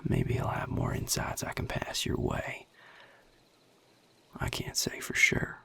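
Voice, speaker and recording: A man playing a guarded, world-weary vampire in an intimate audio roleplay, with a Southern US accent and a breathy, gravelly drawl, speaking in measured, hushed phrases. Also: whispered. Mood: guarded, reluctant. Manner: measured, hushed, plain-spoken with deliberate pauses. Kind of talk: intimate audio roleplay acting addressed to the listener.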